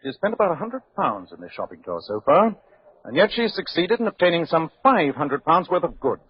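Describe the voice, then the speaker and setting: An actor playing a shrewd, courteous British gentleman detective with clipped, precise speech in a 1940s radio drama. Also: on the high side, sing-song, fairly quick, breathy edge. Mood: keen, admiring. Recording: muffled, scratchy old radio recording.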